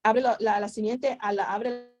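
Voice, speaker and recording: Slow, high-voiced woman preaching. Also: steady tone, darkish tone, muffled recording. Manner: slow, repetitive preaching with exhortations. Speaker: Bible teacher. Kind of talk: sermon teaching over a video call. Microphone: internet call connection.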